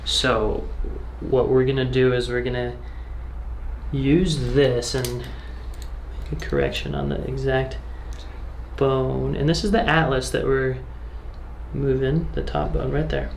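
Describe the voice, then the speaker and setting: A man's voice: medium pitch, a little slow, gravelly, darkish tone. A man working as a chiropractor, giving instructive, reassuring explanations and directions to a patient as he works. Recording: roomy echo, faint background noise.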